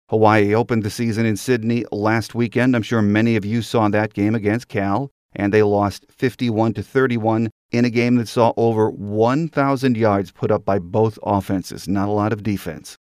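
An American man with a fairly low voice hosts a sports podcast. He moves through announcements and game talk in a conversational, folksy way. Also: a little mellow, no echo, clean studio sound. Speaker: podcast host. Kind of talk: sports podcast monologue and interview intro.

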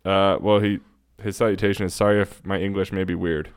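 A man's voice: deep voice, little pitch movement, a little fast, clean tone. A man co-hosting a podcast, explaining things informally and thinking aloud as he goes. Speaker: podcast co-host. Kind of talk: casual podcast chat and explanation.

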